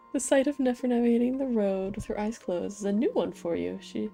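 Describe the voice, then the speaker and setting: A woman's voice: medium pitch, normal speed, vocal fry, dark tone, soft background music. A woman gaming streamer giving playful, effusive commentary and reading game text aloud. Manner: effusive, playful, cooing over characters.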